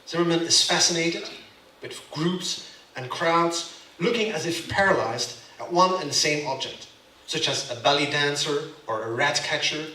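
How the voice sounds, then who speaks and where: Belgian guy giving a talk, bright voice, kinda far from the mic in a big echoey room, keeps going "yeah?". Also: on the high side. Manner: emphatic, keeps asking "yeah?" to the audience. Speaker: lecturer. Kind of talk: gallery lecture.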